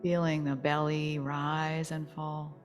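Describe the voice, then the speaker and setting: A woman teaching yoga nidra, leading a guided relaxation meditation in a soothing, gentle, unhurried manner. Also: sits low, little pitch movement, faint music behind.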